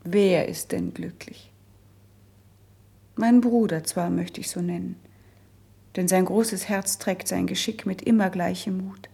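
An actress playing a melancholy, resigned young princess who has been sickly since youth, in a classical verse radio drama, with a breathy, husky, hushed and measured delivery. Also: female voice, lowish voice, fairly even intonation, exaggerated voice. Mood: pained resignation.

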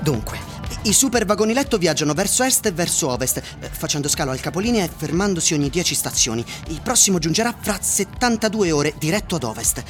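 A male actor as a curious, resolute young traveller in a radio play. His pitch moves in lively swings as he speaks or notes his journey, with faint music behind him. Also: fairly quick, lightly acted. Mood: methodical, musing. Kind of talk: radio drama acting with diary entries.

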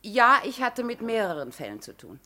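An actress playing a reserved nurse on the witness stand in a 1970s German radio play, careful and matter-of-fact. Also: female voice, on the high side, sing-song, normal speed, sharp tone. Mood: reserved, factual. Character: reserved female ambulance nurse testifying in court.

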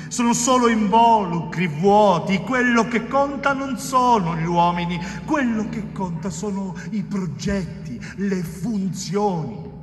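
An actor playing a cold, zealous police officer in a declamatory, pompous theatrical monologue. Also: male voice, sits high, fairly bright tone, heavily acted. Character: zealous cold carabinieri officer justifying brutal methods. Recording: echoey hall reverb, music behind.

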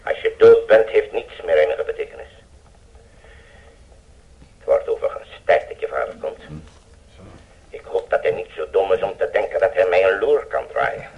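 An actor playing a bitter, self-justifying defector turned blackmailer in a Dutch radio play, grave and deliberate. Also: male voice, sits low, very animated, creaky, lo-fi sound, a little hiss or hum, full character voice. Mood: bitter, defensive.

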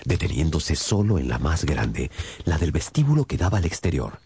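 A man's voice: a bass voice, big pitch swings, moderate pace, a little mellow, husky, no room sound, exaggerated voice. A man acting as a detective's loyal companion, narrating the case in a composed, dramatic storytelling style for a radio drama. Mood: composed, suspenseful.

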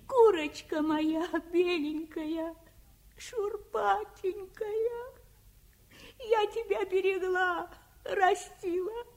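Grumbling nanny, very high pitch, very slow, dramatic, folksy, old radio play. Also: female voice, darkish tone, exaggerated voice. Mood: tearful, doting. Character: fiercely devoted, grumbling household nanny. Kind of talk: 1950s Soviet radio play acting.

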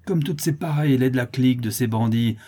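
Man acting a furious, rough peasant widow, husky. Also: on the low side, moderate pace, warm tone, natural voice. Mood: furious, accusing. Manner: coarse, accusing, exclamatory. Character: rough, bitter, furious forty-year-old peasant milkmaid widow.

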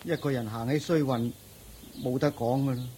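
A man's voice: mid-range voice, steady tone, moderate pace, dark tone, a little hiss or hum, natural voice. A man with a Hong Kong Cantonese accent playing a luckless, penniless nephew, humble, sighing and pleading, in a radio drama. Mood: dejected.

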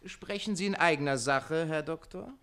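An actor playing a quick-witted, defiant servant in a radio comedy, declaiming theatrically and splitting hairs with passion. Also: male voice, on the high side, bright, forceful tone, clear, resonant tone. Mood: indignant. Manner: declamatory, hair-splitting, impassioned. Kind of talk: German stage comedy radio play acting.

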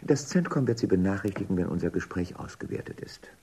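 An actor playing a stern, officious interrogator in a dystopian radio drama, pointed and accusing. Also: male voice, low-pitched, animated, fast talker, mellow, breathy edge, heavily acted. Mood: cool, official. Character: stern bureaucratic male interrogator in a dystopian society. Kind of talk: German radio drama acting.

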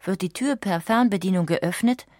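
A woman reading scripted documentary narration in a measured, articulate way. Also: medium pitch, darkish tone, no echo, studio quality. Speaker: audiobook narrator.

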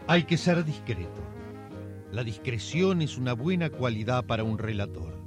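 A man narrating a radio drama in a measured, knowing, confiding way. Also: mid-range voice, expressive, over music, close to an everyday voice.

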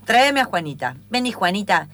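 Una mujer con acento argentino que interpreta a una sanadora amable y tranquilizadora en un radioteatro improvisado. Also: female voice, medium pitch, very animated, brisk, a bit bright. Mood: reassuring. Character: kindly, unhurried woman singing healer.

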